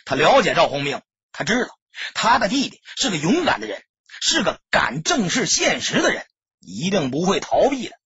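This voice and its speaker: A man's voice: high-pitched, wildly swooping pitch, normal speed, sharp tone, breathy edge, dry recording, lo-fi sound. A man narrating an audiobook as scripted dramatic storytelling, solemn and emotive.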